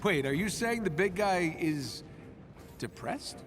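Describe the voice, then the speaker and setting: An actor playing a cocky, wisecracking superhero inventor in a video game, with an American accent and a glib, casual delivery. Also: male voice, sits high, animated, slow, a bit bright, faint music behind, exaggerated voice. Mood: businesslike, wry.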